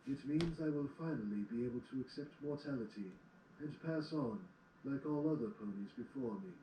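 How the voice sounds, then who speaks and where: A man playing a solemn, world-weary old stallion mentor in an audio drama, speaking in measured, formal phrases. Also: mid-range voice, expressive, warm tone, close to an everyday voice. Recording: echoey, distant playback with background noise.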